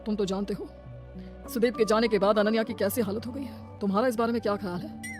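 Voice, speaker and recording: A woman's voice: mid-range voice, fairly even intonation, fast, lightly acted. A woman voicing a kindly, grieving older father-in-law in a cartoon family melodrama, earnest and consoling, with music behind. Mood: caring.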